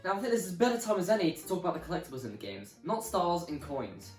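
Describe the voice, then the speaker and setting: Animated man presenting, roomy distant sound. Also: high voice, very fast. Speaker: presenter of a video game review. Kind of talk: scripted presenting to viewers. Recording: roomy, distant mic, music behind.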